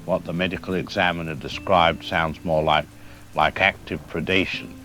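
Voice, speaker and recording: Man as a deep-voiced, cautious expert interviewee. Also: little pitch movement, pushed and bright. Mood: measured concern. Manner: careful, deliberate, hedging. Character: measured, cautious male wildlife expert doctor in news interview. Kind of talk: broadcast interview acting.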